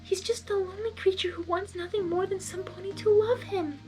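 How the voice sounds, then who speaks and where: A timid young pony mare with a very high, hushed voice, put on by a woman reading a storybook aloud. She speaks softly and pleads, as if afraid to raise her voice. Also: fairly even intonation, a little fast, soft background music. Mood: soft pleading. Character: shy gentle young female pegasus pony.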